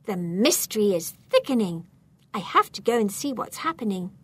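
A woman voicing a curious, bold child sleuth in a storybook, with a breathy, urgent, dramatic delivery. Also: mid-range voice, big pitch swings, moderate pace. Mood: intrigued.

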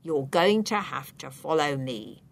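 A woman's voice: low-pitched, expressive, unhurried, gravelly, pitched below the performer's own voice, heavily acted. A woman voicing a stern, thin policeman in a storybook, speaking with formal, firm authority as he interrogates. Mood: stern, accusing.

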